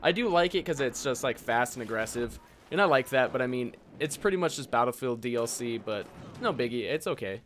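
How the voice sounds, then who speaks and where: A young man around twenty with an American accent talks casually, as a gaming YouTuber would. His voice sits at an average male pitch. He is informal and noncommittal, letting thoughts trail off, over light noise and gunfire sounds. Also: lively intonation, fairly quick, a bit bright. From United States. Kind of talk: casual Q&A commentary, reading out viewer questions.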